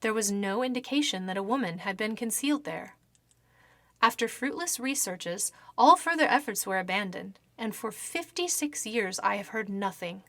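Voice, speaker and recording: A woman's voice: medium pitch, lively intonation, moderate pace, a bit bright, no echo. A woman reading a first-person horror story as dramatic scripted narration, with theatrical, precise delivery. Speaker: story reader.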